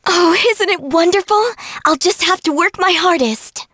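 A woman's voice: very high-pitched, moderate pace, fairly bright tone, no echo, studio quality. A woman voicing a sweet, cheerful young dream fairy girl in an English fantasy game, bubbly, earnest and affectionate. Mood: delighted.

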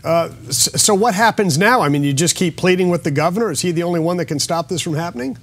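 A middle-aged man anchoring an evening newscast from a TV studio, introducing a guest and asking brisk, polished questions. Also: sits high, lively intonation, a bit bright. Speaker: news anchor. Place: TV news studio.

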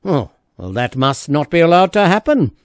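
A man voicing the excitable, anxious people of an island tribe in a storybook, exclaiming and fretting. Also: fairly high pitch, normal speed, no room sound, clean studio sound. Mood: resolved.

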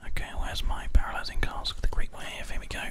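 A video game host giving whispered ASMR play-by-play, thinking aloud in an unhurried, hushed way close to a binaural microphone. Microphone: binaural microphone, close to the ear. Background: computer keyboard typing and mouse clicks.

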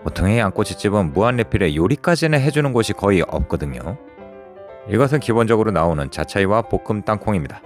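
A man narrating a restaurant review vlog in a lively, descriptive way, recorded in a busy barbecue restaurant. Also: lowish voice, fast talker, soft background music. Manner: lively, descriptive, chatty with friends. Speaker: food vlogger.

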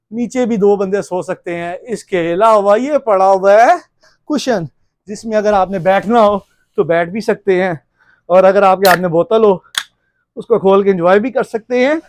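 High-pitched, exclamatory man vlogging. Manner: animated, exclamatory, showman-like. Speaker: travel vlogger. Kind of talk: casual vlog tour narration. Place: outdoors on a wooded road, then inside a cottage.

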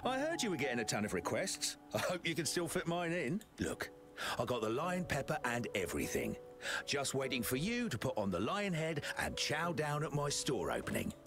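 Hearty shop owner, jovial, hugely animated pitch, game dialogue, music behind. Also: male voice, moderate pace. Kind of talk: English video game voice acting.

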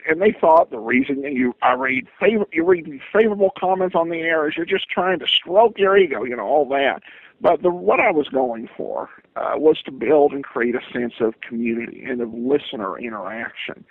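A man giving conversational interview answers as a podcaster, in a halting, rambling way. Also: medium pitch, vocal fry, slightly rough, dry recording. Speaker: podcast host, interviewed guest. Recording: muffled phone-like line.

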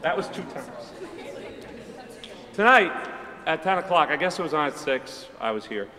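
Bright-voiced chorus director in an echoey hall. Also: male voice, on the high side, animated, normal speed, a faint crowd. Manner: chatty, rambling, frequent ums and asides. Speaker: high school chorus director. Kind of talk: casual stage announcement to a concert audience. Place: large school auditorium stage. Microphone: mic on a stand, speaking from among the choir. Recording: roomy hall reverb with faint audience ambience.

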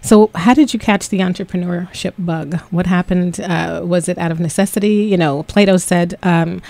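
A woman hosts a business talk show on the radio, her voice sitting a little low. She talks in a chatty way, with frequent ums, as she reads out figures and asks questions. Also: fairly even intonation, moderate pace, gravelly, dark tone. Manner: chatty, many ums, reads stats aloud. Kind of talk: radio interview. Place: radio studio.

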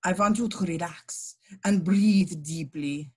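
A bossy henchwoman played by a woman in a fashion comedy read. She is curt and menacing, with a lowish, husky voice. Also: slow pace, warm tone. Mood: cool, controlling. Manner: curt, commanding. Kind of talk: comedic movie script table read.

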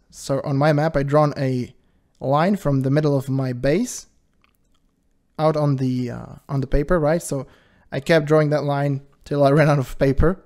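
Guy with a higher voice, talking fast and defensive, laughs a lot. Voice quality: high-pitched voice. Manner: animated, defensive, laughs often. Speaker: channel host reacting to a video. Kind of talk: casual commentary.

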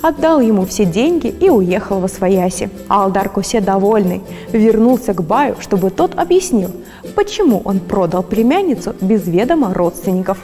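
A woman narrates a fairy tale in an even, mellow storytelling voice. Her voice carries a long hall reverb, with faint music underneath. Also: mid-range voice, moderate pace.

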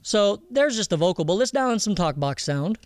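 A man explaining audio software step by step in an informal tutorial, often checking that the listener follows. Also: high-pitched, sing-song, brisk, clear, resonant tone, no room sound, clean studio sound. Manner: explanatory, checks understanding with "okay?", "all right?". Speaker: audio mixing instructor.

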